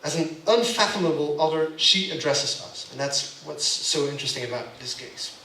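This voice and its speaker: A man with a Belgian accent lectures to a room, with a bright, forceful voice pitched a little high. He speaks emphatically and keeps checking in with his listeners. He sounds distant from the mic in an echoey room. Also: moderate pace, slight background noise. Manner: emphatic, keeps asking "yeah?" to the audience. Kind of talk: gallery lecture.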